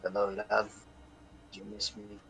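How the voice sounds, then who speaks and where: Sinister gentleman killer, acted by a man: gravelly, possessive, softly threatening, for horror drama, slight background rumble. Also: monotone, moderate pace. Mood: quiet menace. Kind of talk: live horror script reading.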